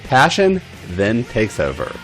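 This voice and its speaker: Man reading with sweeping, animated pitch. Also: slow, soft background music. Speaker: podcast host and story reader. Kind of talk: scripted narration.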